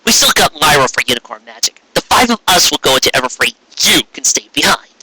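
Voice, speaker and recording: A man's voice: a little high, big pitch swings, fast, bright, forceful tone, heavily acted, low-quality recording. A man voicing a blunt, tough young female pegasus pony, speaking sternly in a story reading. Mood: curt resolve.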